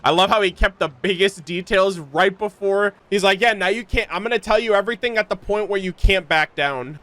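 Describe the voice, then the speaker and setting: A man giving casual, expressive live reactions as he streams a game, with slangy phrasing. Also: high-pitched, brisk. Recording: game music behind.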